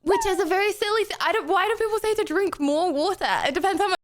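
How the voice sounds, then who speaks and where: High-voiced excitable woman streamer. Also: fast talker. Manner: excitable, laughy, slangy with lots of "bro" and "okay". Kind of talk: live stream chat, thanking viewers.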